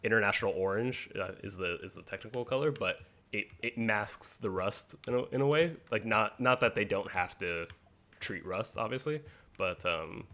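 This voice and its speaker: A man's voice: on the low side, normal speed, bright, forceful tone. A man playing a racing game on a livestream, chatting casually and sharing trivia with hesitant restarts. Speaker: game stream player. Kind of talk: casual gameplay chat.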